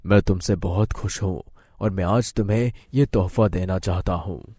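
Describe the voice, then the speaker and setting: A man voicing a solemn, benevolent shape-shifting serpent spirit in a cartoon moral story, speaking in a grave, measured and gracious manner. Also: sits low, dark tone, no echo, clean studio sound, pitched below the performer's own voice. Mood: solemn, grateful.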